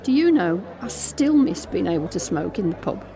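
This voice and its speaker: A woman voicing a bitter, determined middle-aged mother in an audio drama monologue, with deliberate, emphatic delivery. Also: medium pitch, big pitch swings, vocal fry, a crowd in the background. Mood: wistful. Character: Alex's mum, middle-aged, bitter, determined, grieving wife.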